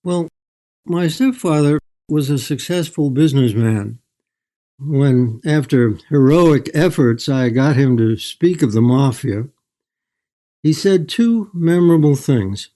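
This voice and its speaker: Older guy, writer being interviewed, mellow voice, tells stories at a measured clip. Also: fairly high pitch, animated. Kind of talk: storytelling in an interview.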